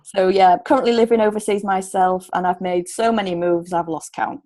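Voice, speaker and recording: A woman's voice: medium pitch, steady tone, fairly quick, mellow. A woman in her thirties with a Yorkshire accent, talking easily as a guest in a casual interview. She chats freely and laughs often. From Hull, Yorkshire, England. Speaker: guest, relocation coach.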